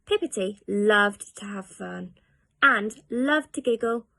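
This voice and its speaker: A female teacher reading a children's story aloud with expressive storybook narration. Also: mid-range voice, takes it slowly, vocal fry, no echo.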